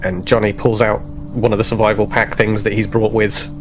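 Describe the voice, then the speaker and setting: A man's voice: fairly low pitch, level tone, moderate pace, background noise. A man speaking casually as a tabletop game player, narrating what his character does. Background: music under the voice.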